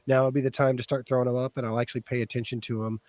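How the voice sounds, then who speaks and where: A man with a raspy voice hosting a casual stream chat, easygoing and halting, with many fillers. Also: mid-range voice, level tone, darkish tone, no echo. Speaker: host.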